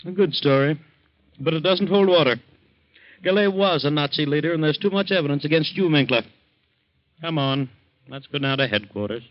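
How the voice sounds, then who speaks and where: Male actor as suave French police inspector, probing and sardonic, higher-set animated voice, old-time radio drama, dull recording. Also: normal speed, long pauses, close to an everyday voice. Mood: sardonic, accusing.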